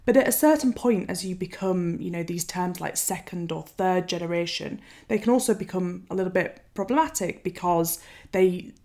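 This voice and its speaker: A woman with a slightly low voice asks a long, careful question, pausing as she searches for the right words. Her delivery stays measured and thoughtful. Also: dark tone. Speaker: co-host. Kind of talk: interview question.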